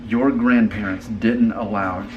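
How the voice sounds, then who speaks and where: A man with a fairly low voice, chatting earnestly with family. He thinks aloud and often restarts his sentences. Steady background noise sits under the recording. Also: measured pace, muffled recording. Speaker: host. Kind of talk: casual family conversation.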